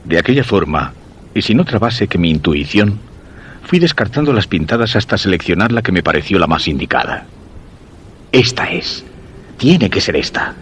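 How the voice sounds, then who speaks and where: An actor from radio theatre playing an obsessed, lovelorn commuter who narrates his search in brooding, deliberate Castilian Spanish. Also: low voice, very animated, a little hiss or hum. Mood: searching, intense. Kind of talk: dramatic first-person radio theatre narration.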